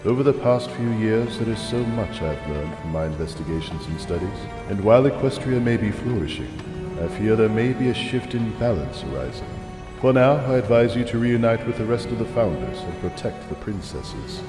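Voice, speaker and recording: A man's voice: fairly low pitch, expressive, normal speed, lightly acted. A man playing a grave, learned mentor in an audio drama, voicing a letter in a solemn, formal, theatrical way. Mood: solemn warning. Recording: echoey reverb under music.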